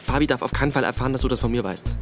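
A man's voice: medium pitch, racing, over music, close to an everyday voice. An actor playing an anxious teenage boy in a radio drama, evasive and defensive, stumbling hesitantly over his words. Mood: nervous, hesitant. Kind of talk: naturalistic German radio drama acting.

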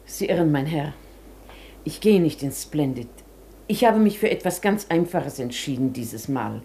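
A woman's voice: deep voice, animated, a little slow, mellow. An actress in a German radio play playing an ailing, wistful older woman of modest means, proudly reserved and hesitant. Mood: guarded, hesitant.

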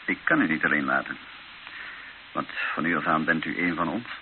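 An actor playing an earnest, patient priest who heads a mission in a radio drama, gravelly, measured and gently insistent. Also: male voice, a bass voice, expressive. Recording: noisy, narrow-band old radio recording.

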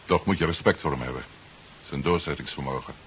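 An actor playing a grave, regretful official in a radio drama, speaking in a measured, sober way. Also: male voice, very deep voice, fairly bright tone. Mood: respectful, regretful. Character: grave official, regretful former colleague of a fugitive. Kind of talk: 1970s radio drama acting. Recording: muffled, hissy old radio recording.

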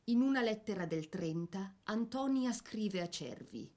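A woman narrating a scripted biographical drama in a solemn, literary manner with measured phrasing. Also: mid-range voice, animated, warm tone.

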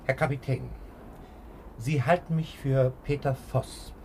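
An actor playing an indignant detective held prisoner in an old German radio crime drama, arguing urgently and emphatically. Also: male voice, mid-range voice, steady tone, measured pace, a little mellow, a little hiss or hum, natural voice. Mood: insistent, pleading.

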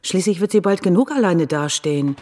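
A woman's voice: fairly low pitch, expressive, a little fast, dark tone, slight background noise, natural voice. An actress playing an opinionated, outspoken small-town mother with an emphatic manner in a radio drama. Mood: insistent, defensive.

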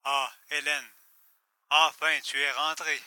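A man's voice: a little high, flat delivery, slow, bright, forceful tone, smooth, heavily acted. A man playing a villain's deferential accomplice in an audio drama, hesitant and questioning. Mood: cautious.

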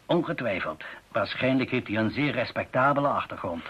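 An actor in a radio play playing an older professor, deliberate, scholarly and formal in his analysis. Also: mid-range voice, expressive, slightly rough. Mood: analytical, measured. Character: older male language professor, measured, analytical, precise. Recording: dull, muffled radio play recording.

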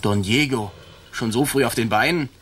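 Man acting a blustering army sergeant, lowish voice. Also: normal speed, a little hiss or hum, natural voice. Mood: businesslike. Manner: swaggering, theatrical, loud-mouthed. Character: boastful, blustering Spanish colonial army sergeant. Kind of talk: German radio drama acting.

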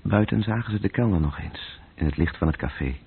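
A man narrating a literary radio drama in terse, measured phrases, with a gravelly, breathy voice. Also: low-pitched, expressive. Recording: muffled, tinny old radio-play recording.

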